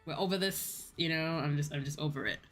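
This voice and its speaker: An American woman livestreamer rambling casually to her viewers in an animated storytelling way, acting out bits of dialogue. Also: sits low, brisk. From United States. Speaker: VTuber livestreamer. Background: background music.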